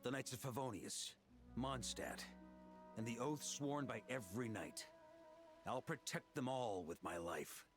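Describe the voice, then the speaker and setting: A man voicing a seasoned, self-assured knight commander in a video game, speaking in a relaxed, wry and measured way. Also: mid-range voice, soft background music, close to an everyday voice. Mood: calm.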